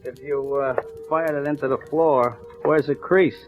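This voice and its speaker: A man's voice: mid-range voice, steady tone, a little slow, faint music behind, close to an everyday voice. A man playing an obliging, careful junior police detective in a 1940s radio crime drama, casual and deferential. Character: obliging male police detective, easygoing but careful subordinate. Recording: dull, muffled vintage radio recording.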